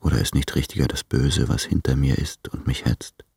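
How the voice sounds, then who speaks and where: An actor playing a weary, lovesick man addressing his beloved in a literary radio play, hushed and brooding, with a husky, breathy voice. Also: very deep voice, steady tone, moderate pace, no room sound, studio quality, heavily acted. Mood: tormented, pleading.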